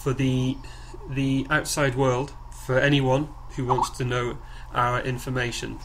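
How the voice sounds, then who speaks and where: Flat-toned man leading a noisy call. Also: medium pitch. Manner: halting, repeats words and phrases. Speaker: project coordinator leading a group call. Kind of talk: informal conference-call presentation. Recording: clearly noisy conference call recording.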